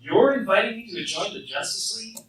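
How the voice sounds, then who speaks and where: A man's voice: high voice, expressive, fast talker, a bit bright. An actor playing cocky, wisecracking teenage boy superheroes with a glib, bantering manner in a radio play. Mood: skeptical, wisecracking. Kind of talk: radio play acting before a live audience. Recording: very echoey hall fan recording, distant.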